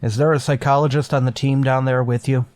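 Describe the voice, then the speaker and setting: A man playing a stern, wary starship captain in a sci-fi radio drama, giving curt orders with measured authority. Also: mid-range voice, flat delivery, darkish tone, a little hiss or hum, lightly acted. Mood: commanding. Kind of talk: golden age sci-fi radio drama acting.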